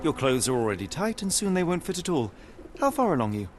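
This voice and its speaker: Game detective: British, self-assured, probing, measured, animated intonation, music underneath. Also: male voice, fairly high pitch. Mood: probing, cool. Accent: British English. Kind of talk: video game cutscene acting. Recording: music behind the voice.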